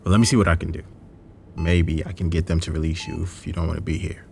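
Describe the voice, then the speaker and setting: A man playing a kind, concerned hospital worker in an audio drama, speaking gently and hesitantly with a creaky voice. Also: deep voice, fairly even intonation, quick pace, a little hiss or hum. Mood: gentle, earnest. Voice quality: gravelly, creaky. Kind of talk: naturalistic audio drama acting.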